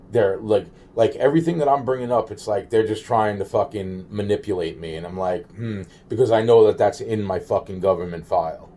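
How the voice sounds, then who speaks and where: A man giving a rambling, informal monologue to camera, halting and repetitive, often trailing off and circling back, with slangy, profane wording. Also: on the low side, warm tone, slight background noise. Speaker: solo video maker.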